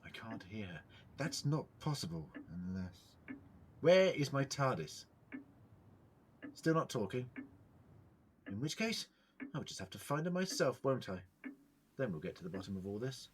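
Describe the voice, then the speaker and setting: A man's voice: mid-range voice, big pitch swings, a little slow, long pauses, husky. A man playing an eccentric, ancient alien time traveller in an audio drama, theatrical and self-questioning, shifting between muttering and declaiming. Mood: indignant, determined. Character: eccentric thousand-year-old male alien time traveller, muttering, indignant.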